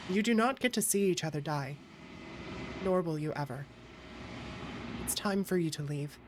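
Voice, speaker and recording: A woman's voice: sits low, lively intonation, normal speed, background noise. A woman streaming a game, reading visual novel narration aloud and chatting casually with viewers. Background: game music.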